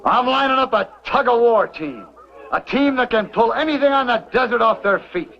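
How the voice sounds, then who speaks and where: A man's voice: extremely high pitch, expressive, normal speed, over music. An American actor playing a boisterous, commanding mining camp man who bosses his crew jovially in a vintage western. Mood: jovial, bossy. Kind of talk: 1950s-60s western TV acting. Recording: dull old soundtrack, noisy outdoor crowd.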